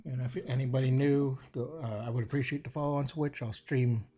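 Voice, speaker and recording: A man's voice: medium pitch, steady tone, a little fast, mellow, breathy edge. A man livestreaming a game, casually reading dialogue aloud and sometimes stumbling over the lines in an informal, hesitant way. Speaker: game streamer. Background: game music.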